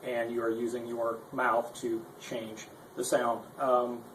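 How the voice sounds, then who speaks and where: A man's voice: medium pitch, completely flat delivery, slow pace, a bit bright. A man giving an informal, unscripted gear demonstration in a rambling, self-deprecating, conversational way, as the presenter of a guitar tutorial. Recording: echoey room with faint noise.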